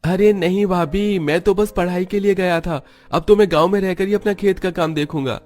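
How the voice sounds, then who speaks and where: A man's voice: high-pitched, steady tone, moderate pace, a little mellow, pitched above the performer's own voice, close to an everyday voice. A man voicing a willing, respectful young student just back home in a cartoon story. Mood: agreeable. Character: willing, respectful young brother-in-law fresh from college.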